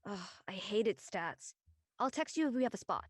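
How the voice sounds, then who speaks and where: A woman's voice: mid-range voice, expressive, normal speed, breathy edge, no echo, studio quality. A woman playing an easygoing adult aunt in a naturalistic live script reading. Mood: friendly, casual. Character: easygoing adult aunt recalling her college years. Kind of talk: naturalistic live table-read acting.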